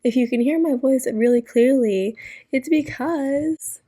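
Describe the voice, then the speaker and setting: A woman hosting a reaction video, hoarse and raspy from a cold, chatting casually and giggling. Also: high-pitched, a little slow, dark tone. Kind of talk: casual vlog chat. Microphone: new studio microphone.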